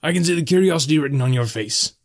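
A jovial, stout tavern keeper from a fantasy audiobook, acted by a male narrator. His voice is pitched up and hearty, and he speaks in exclamations like a welcoming host. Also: expressive, fast, gravelly. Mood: proud. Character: hearty, tall, stout bartender of a northern town, friendly. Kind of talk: fantasy audiobook character dialogue.

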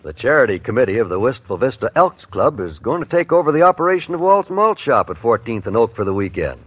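A man works as a 1950s radio announcer, reading scripted introductions with very lively pitch. Music plays behind him, and the old recording sounds dull. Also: quick pace.

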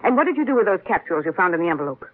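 A woman's voice: fairly low pitch, sing-song, racing. An actress playing a poised, steely young stepmother in a 1950s radio drama. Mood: angry. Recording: muffled vintage 1950s radio recording.

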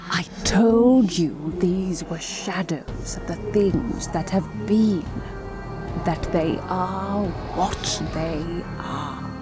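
An otherworldly spirit in an audio drama, solemn and deliberate. The voice is fairly low and drawn out very slowly, with clear music underneath. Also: female voice.

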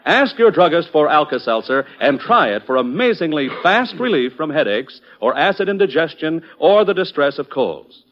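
An American man delivers an old-time radio commercial with widely swinging intonation and a fairly high voice. His announcing is polished and persuasive. The sound is muffled, as on a 1940s broadcast recording. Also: very animated, moderate pace, hardly any pauses, a little hiss or hum. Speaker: radio announcer reading sponsor message. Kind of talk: 1940s scripted radio advertising.

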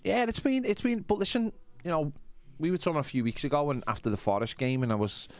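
A man's voice: on the high side, quick pace, no room sound. Male sports podcast panellist, conversational, emphatic and rambling, close dry sound. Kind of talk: casual sports chat.

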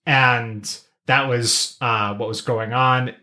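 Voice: medium pitch, fairly even intonation, takes it slowly, pushed and bright. A podcast co-host chatting casually and explaining things, often restarting sentences along the way. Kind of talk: casual chat about an animated series.

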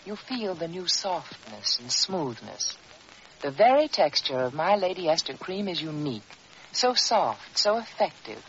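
A woman delivering a scripted 1940s radio commercial as spokeswoman, instructive and emphatic. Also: fairly low pitch. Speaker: advertising spokeswoman. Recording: hissy, dull old radio recording.

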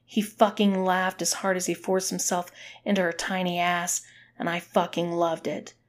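A woman's voice: lowish voice, flat delivery, normal speed, slight background noise, natural voice. A woman voicing a guilt-ridden, submissive man in an audiobook story, speaking with agitated intensity. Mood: anguished, bitter.

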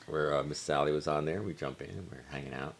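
Low-voiced laid-back podcast co-host man. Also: quick pace. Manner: laid-back, profane, storytelling with restarts. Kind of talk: casual chat.

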